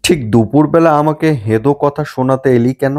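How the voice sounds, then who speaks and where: A man's voice: mid-range voice, normal speed, a little mellow, pitched above the performer's own voice, lightly acted. A man voicing a scolding, suspicious old grandmother in an audiobook, with a stern, grumbling manner.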